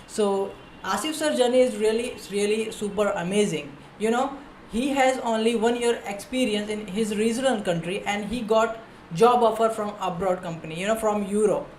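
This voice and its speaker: A woman's voice: mid-range voice, reverberant, slight background noise, rough recording. A woman hosting an interview podcast, speaking informally and giving frequent short murmurs of agreement. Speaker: podcast host.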